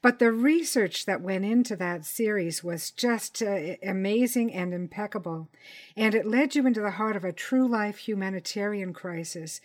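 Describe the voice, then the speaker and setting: A woman presenting and interviewing as a podcast host, speaking in a measured, articulate and polite way. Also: medium pitch.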